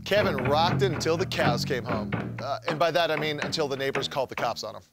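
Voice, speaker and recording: A man's voice: on the high side, expressive, fast, vocal fry, over loud music. A young man hosting a show with scripted comedic narration in a jokey, wry manner. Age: young adult. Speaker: host.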